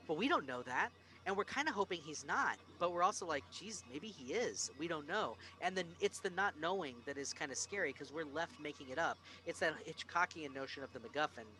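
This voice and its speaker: A man's voice: fairly high pitch, pushed and bright, poor audio. A man hosting a casual film discussion, speaking in an emphatic, opinionated way and swearing freely. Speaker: podcast host.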